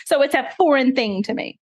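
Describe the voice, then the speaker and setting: A woman's voice: high voice, darkish tone. A woman hosting an interview show, upbeat and playful, laughing easily with her guest. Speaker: podcast host, general contractor. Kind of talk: scripted intro then casual interview.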